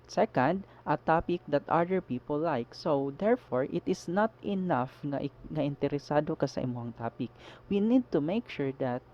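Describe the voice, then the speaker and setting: A Filipino teacher with a Cebuano accent, talking through his slides with a mellow but fairly high voice. He moves between English and Cebuano and keeps checking in with his listeners. The voice-over sounds dull and lo-fi. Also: lively intonation, moderate pace. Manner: mixes English with Cebuano, explanatory with frequent "no?" checks. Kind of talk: recorded slide lesson.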